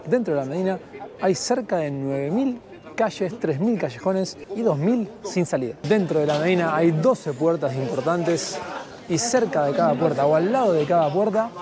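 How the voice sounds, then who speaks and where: A man narrates a walking tour of an old medina, keen and chatty, speaking straight to his viewers. His voice sits somewhat high for a man. Busy crowd chatter fills the outdoor air around him. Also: animated, moderate pace. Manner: enthusiastic, addresses viewers directly. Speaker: travel vlogger. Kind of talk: walking tour commentary to camera.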